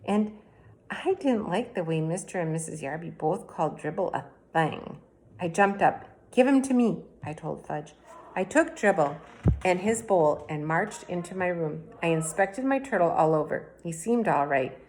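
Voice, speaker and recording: Female reader, children's book read-aloud; lowish, plain, even delivery. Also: normal speed, slight background noise.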